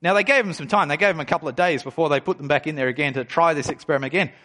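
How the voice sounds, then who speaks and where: A pastor in his forties with a fairly high voice preaches a sermon. He reads scripture aloud and then explains it, slowing down and pressing hard on the words that matter. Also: fairly bright tone. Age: 40s. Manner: emphatic preaching, slows down to stress key phrases.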